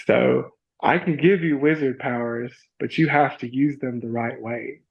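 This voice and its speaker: A man's voice: mid-range voice, measured pace, gravelly, slightly rough, muffled recording. A man chatting as a podcast guest, upbeat and playful, who slips into grand mock-formal wizard talk and laughs often. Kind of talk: enthusiastic casual chat.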